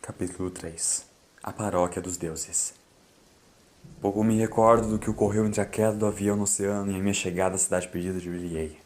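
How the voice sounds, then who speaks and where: Solemn low-voiced Brazilian man narrating horror. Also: steady tone, fairly quick, a little hiss or hum. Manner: solemn, theatrical. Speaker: audiobook narrator. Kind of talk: dramatic scripted horror narration. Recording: loud music under the voice. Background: loud music.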